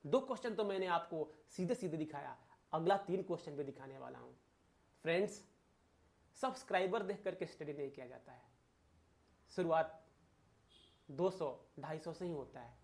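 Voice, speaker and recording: A man with an Indian accent giving an informal exam-prep lecture to viewers, emphatic, using rhetorical questions and repeated phrases. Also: sits high. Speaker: exam-prep teacher and channel host.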